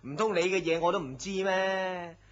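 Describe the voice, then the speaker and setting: A man's voice: sits high, moderate pace. A man with a Hong Kong Cantonese accent playing a blunt, teasing friend who fusses and scolds in a radio drama. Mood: scolding, exasperated. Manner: exasperated, scolding, animated sighing delivery. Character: blunt, teasing, fussing male friend and co-worker. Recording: dull lo-fi radio drama audio.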